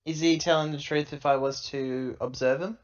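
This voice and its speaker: A man's voice: a little high, steady tone, normal speed, lo-fi sound. A man who is a tabletop roleplaying player, casually narrating what his character does.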